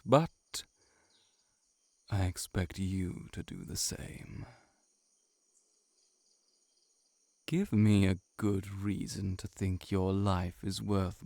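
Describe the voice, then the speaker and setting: A man voicing a cynical, seductive heart-eating shapeshifter monster in an intimate audio roleplay, sardonic and measured, sometimes near a whisper. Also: low voice, steady tone, vocal fry, mellow, dry recording, heavily acted. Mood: seductive, challenging.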